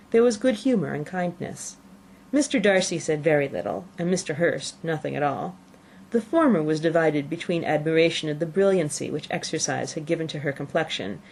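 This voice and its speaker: A woman's voice: low voice, darkish tone, slight background noise. A woman narrating a classic novel as an audiobook, reading from the text.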